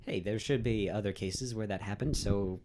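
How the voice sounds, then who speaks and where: A man's voice: lowish voice, moderate pace, background noise. A nineteen-year-old man chatting casually on a podcast, rambling through nerdy trivia. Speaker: podcast co-host. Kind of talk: casual chat.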